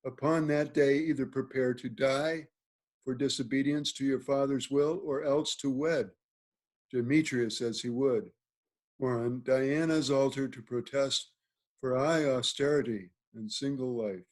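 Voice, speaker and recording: A man playing an authoritative duke, reading Shakespearean verse in a formal, deliberate manner. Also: mid-range voice, fairly even intonation, natural voice. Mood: grave, advising. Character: authoritative, measured duke counseling a young maid. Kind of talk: Shakespearean verse table read. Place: remote video call reading. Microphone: video call.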